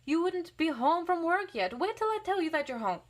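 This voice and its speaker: Bossy small boy for a storybook, voiced by a woman, high, loud, indignant scolding. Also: big pitch swings, normal speed.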